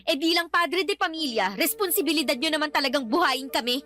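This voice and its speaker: An actress playing an outspoken young adult daughter who answers back reproachfully in a radio drama. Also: female voice, high-pitched, animated, fairly quick, bright, forceful tone. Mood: indignant. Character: outspoken, defiant grown daughter defending her mother. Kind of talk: Tagalog radio drama acting.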